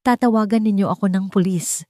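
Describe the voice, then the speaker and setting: A woman's voice: mid-range voice, a little fast, a little mellow, dry recording, close to an everyday voice. A haughty, indignant rich villainess with a Filipino accent, put on by a woman narrator. She speaks imperiously and defiantly, vengeful in the manner of an audio melodrama. The recording is dry. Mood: defensive, defiant.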